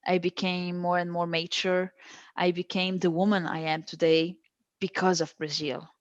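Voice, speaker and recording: A woman's voice: on the low side, flat delivery, normal speed, dry recording, dull audio. A woman, a guest who answers with emphatic, repeated phrases. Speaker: guest, stateless-rights advocate. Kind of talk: earnest interview answers.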